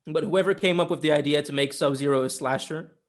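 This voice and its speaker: Male co-host, slightly high voice, informal and emphatic, swears casually while reviewing. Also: fast. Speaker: podcast co-host reviewing films. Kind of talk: casual film discussion.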